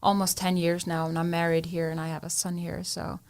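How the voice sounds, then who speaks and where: A woman's voice: fairly low pitch, little pitch movement, brisk. A woman from the Faroe Islands, a singer guest chatting casually in a radio studio. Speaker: musician guest. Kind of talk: casual interview chat.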